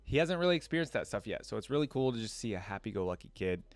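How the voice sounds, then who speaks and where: A man's voice: fairly low pitch, very animated, very fast, no echo. A man hosting a reaction video with casual commentary, informal and thinking aloud as he goes.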